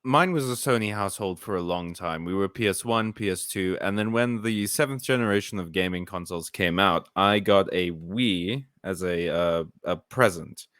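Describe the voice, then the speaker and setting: A man chatting casually on a gaming podcast, easygoing and quick to laugh. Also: low-pitched, fairly bright tone, no echo, clean studio sound. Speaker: podcast co-host. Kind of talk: casual chat.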